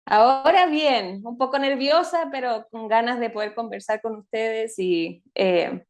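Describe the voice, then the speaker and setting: A woman's voice: on the high side, a little slow. A woman with a mixed Chilean and Mexican accent, a guest on a podcast, telling her story thoughtfully with fillers and tag questions. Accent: mix of Chilean and Mexican Spanish. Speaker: podcast guest, mother and counselor. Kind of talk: reflective interview storytelling.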